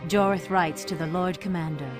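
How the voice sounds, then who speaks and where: A woman giving measured, solemn scripted narration for a fantasy game's story scenes. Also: on the low side, fairly even intonation, over music.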